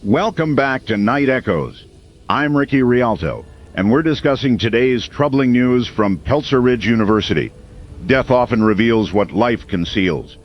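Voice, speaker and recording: A man playing a brooding, earnest small-town radio host in an audio drama, delivering a measured, portentous monologue. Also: medium pitch, lively intonation, dull audio, close to an everyday voice. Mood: solemn. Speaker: late-night community radio host.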